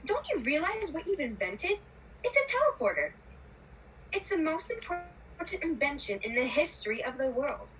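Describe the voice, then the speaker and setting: Woman playing a sharp, arrogant know-it-all boy. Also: high-pitched, very animated, normal speed. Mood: exasperated. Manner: indignant, lecturing. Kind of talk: puppet show acting. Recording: distant mic with background noise.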